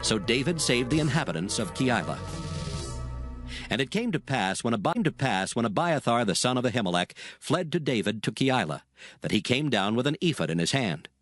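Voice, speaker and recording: A man narrating scripture in a solemn, measured, dramatic storytelling style. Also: medium pitch, sing-song, a bit bright, no echo. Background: music bed.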